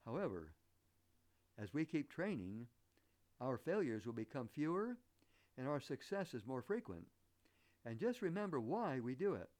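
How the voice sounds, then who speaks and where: A man from the northern United States with an acquired Southern American accent, preaching a sermon in an earnest, deliberate manner. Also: mid-range voice, sing-song, a little mellow. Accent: American, picked up Southern. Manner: earnest, deliberate, rhetorical questions. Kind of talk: sermon with illustrative anecdotes.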